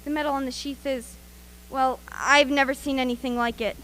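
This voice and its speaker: Woman acting as radio play field reporter, high-pitched, forceful and bright, brisk, eager, faint background noise. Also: fairly even intonation, low-quality recording. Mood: brisk, curious.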